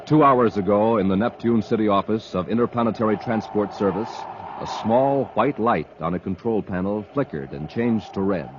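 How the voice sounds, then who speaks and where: A man's voice: fairly low pitch, moderate pace, background noise. An American man working as a 1950s radio announcer, delivering scripted lines with emphatic, dramatic showmanship. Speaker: announcer, narrator. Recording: dull, lo-fi vintage radio recording.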